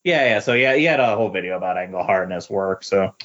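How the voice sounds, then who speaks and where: A man chatting casually about comics on a podcast, speaking in halting, tentative phrases. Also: low-pitched, animated, fairly bright tone, dull audio.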